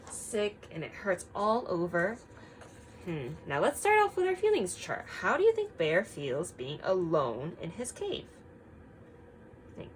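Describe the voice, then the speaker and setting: A woman teaching young children through an expressive read-aloud, prompting them with frequent simple questions. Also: fairly low pitch, slight background noise, low-quality recording.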